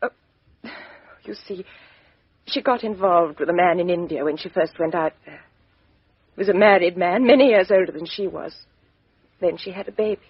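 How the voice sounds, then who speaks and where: Proud, husky young woman, defiant. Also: lowish voice, moderate pace, pauses often, no room sound. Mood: bitter, defiant. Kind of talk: 1950s radio drama acting. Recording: dry, dull lo-fi 1950s radio recording.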